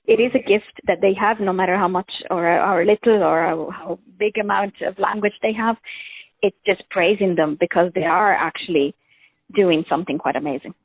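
A woman's voice: mid-range voice, normal speed, slightly rough, dry recording. Earnest woman over tinny phone line. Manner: earnest, reassuring. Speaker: language expert.

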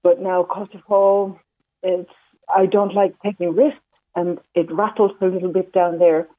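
A lower-voiced woman from the Netherlands speaks over a tinny, narrowband phone line. She talks haltingly, with many ums and restarts. The sound is dry and close, with no room around it. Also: little pitch movement, no echo. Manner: hesitant, many fillers and restarts. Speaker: interview guest, walking pilgrim. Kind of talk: phone interview.

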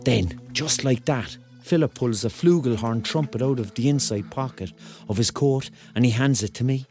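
An actor playing a rambling, excitable Cork man in his thirties, telling his story in a colloquial radio drama style. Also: mid-range voice, moderate pace, faint music behind, lightly acted. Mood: wry storytelling. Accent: Cork Irish. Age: 30s. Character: rambling, excitable thirty-year-old Corkman on a surreal night out. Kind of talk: radio drama acting, first-person narration and dialogue.

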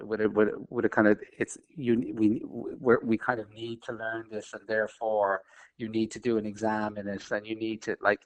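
A man's voice: fairly low pitch, poor audio. A man from Dublin with a Dublin Irish accent, a filmmaker giving an interview, talking earnestly and searching for words with frequent restarts. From Dublin, Ireland. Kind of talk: reflective interview talk.

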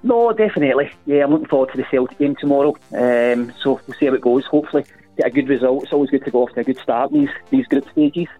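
A Scottish man phoning in to a radio sports show. He talks fast, polite and upbeat, and his voice is squeezed through a thin, tinny phone line. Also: medium pitch, soft background music. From Scotland. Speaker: caller.

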